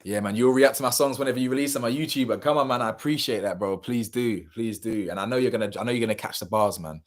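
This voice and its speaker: A young man, chatting casually on a live stream and reading out viewer comments, slangy and animated, his voice a little hoarse. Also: mid-range voice, fast. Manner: slangy, animated, laughs often, drifts between loud bursts and mumbles. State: slightly hoarse.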